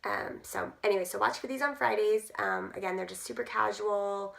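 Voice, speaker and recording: A woman in her thirties, hoarse from losing her voice, chatting casually to camera with many fillers. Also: medium pitch, fairly even intonation, normal speed, a bit bright. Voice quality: gruff. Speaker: direct sales team leader.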